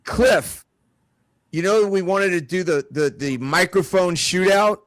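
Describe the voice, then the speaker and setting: A man's voice: high-pitched, measured pace, dry recording. Male host, casual, dry teasing quips, dry close sound. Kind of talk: casual chat, teasing interjections. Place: small room with several people around mics.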